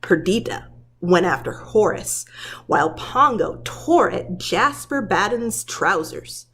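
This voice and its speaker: A woman who works as a preschool teacher, reading a children's story aloud in a dramatic, deliberate storytelling manner. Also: mid-range voice, animated, a bit bright, breathy edge.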